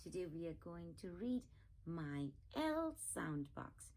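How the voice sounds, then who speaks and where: A woman reading a storybook aloud for young children, expressive and inviting, often putting questions to her listeners. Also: fairly low pitch, takes it slowly. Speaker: children's read-aloud channel host.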